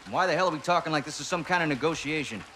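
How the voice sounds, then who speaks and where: A commanding Southern American mercenary boss for a video game. He drawls with smug, condescending control in a fairly high male voice. Clear rainy noise surrounds him. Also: racing, pushed and bright. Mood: cold, controlled. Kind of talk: cinematic video game acting.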